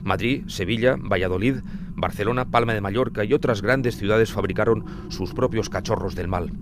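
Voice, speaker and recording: A man's voice: on the low side, moderate pace, background noise. A middle-aged man from Spain with a Spanish accent, narrating scripted true crime as a journalist in dramatic, literary phrasing.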